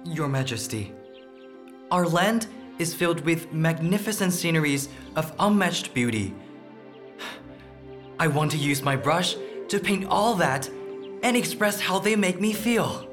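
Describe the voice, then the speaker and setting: An actor playing a humble, passionate young court painter in a radio drama, earnest and deferential. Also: male voice, a little high, animated, unhurried, pauses often, over music.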